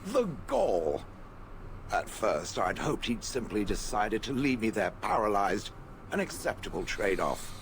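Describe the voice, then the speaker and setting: An actor playing a sardonic stone golem from a fantasy video game, haughty and contemptuous of people. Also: male voice, lowish voice, very animated, a little hiss or hum. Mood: bitter, dry. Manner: haughty, sardonic, deliberate phrasing.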